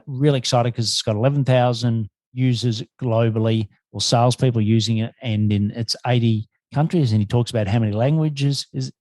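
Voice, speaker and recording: A man's voice: mid-range voice, darkish tone, no echo. A man hosting a podcast and interviewing in a conversational way.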